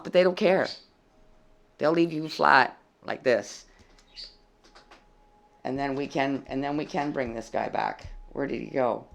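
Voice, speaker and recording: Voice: medium pitch, creaky, a bit bright. A tarot reader giving a casual, blunt reading with a dry, froggy voice and some swearing. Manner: blunt and plain-spoken, swears freely.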